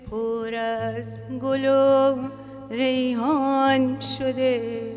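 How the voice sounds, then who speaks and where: A woman singing a devotional poem in slow, drawn-out phrases at a live program. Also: high voice. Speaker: singer. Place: live holy day program in a hall. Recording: long hall reverb with music. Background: instrumental music.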